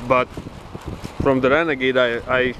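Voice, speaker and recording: A man with a Hungarian accent, speaking at a middle pitch. He talks haltingly, often pausing with fillers. Faint wind sounds come from behind him. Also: little pitch movement. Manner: hesitant, halting. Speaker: kiteboarder testing kites. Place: beach outdoors.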